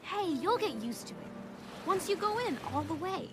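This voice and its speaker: A motherly teenage girl in a cartoon film, high-voiced and very melodic. She sounds kind and gently bossy, with music behind her. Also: expressive, moderate pace. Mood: easygoing. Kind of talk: animated film dialogue. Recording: music under the dialogue.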